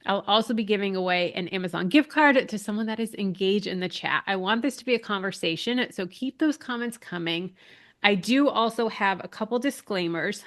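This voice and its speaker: A woman's voice: mid-range voice, fairly quick. A woman in her thirties from Massachusetts hosts a live online business class in a chatty, upbeat way. She is getting over a cold, so she sounds a little nasal, with a dry throat and some breathlessness. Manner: chatty, upbeat, reads out chat names. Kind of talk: casual live webinar talk.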